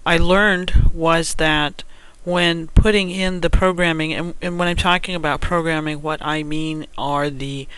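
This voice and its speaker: A man giving an unscripted step-by-step software tutorial, thinking aloud in a halting way as he works. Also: on the high side, fairly even intonation, smooth, rough recording.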